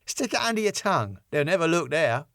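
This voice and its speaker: Man doing a cheeky, glib lad, pitched up. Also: sing-song, fairly quick, creaky, a bit bright, no room sound, studio quality, heavily acted. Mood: breezy, cheeky. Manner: breezy, colloquial, bluffing. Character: Dave, cheeky glib quick-thinking British lad.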